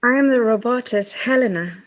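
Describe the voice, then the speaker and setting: Timid, higher-voiced female robot played by a woman. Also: brisk, natural voice. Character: timid but devoted young female robot. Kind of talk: old-time radio drama acting.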